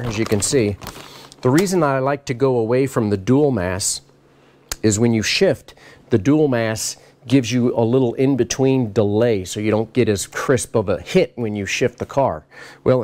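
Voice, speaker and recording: A middle-aged man giving a casual walk-around tour of his race car shop, explaining parts in a relaxed, practical way. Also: mid-range voice. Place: large garage workshop, indoors.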